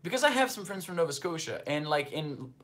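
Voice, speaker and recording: A man's voice: on the high side, very animated, fairly quick, a bit bright. A Brazilian-born man with a slight Brazilian accent hosts a casual livestream, chatting loosely and reading viewer comments. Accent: slight Brazilian Portuguese. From northeastern Brazil. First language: Portuguese. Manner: rambling, filler-heavy, loose and conversational. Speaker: livestream host.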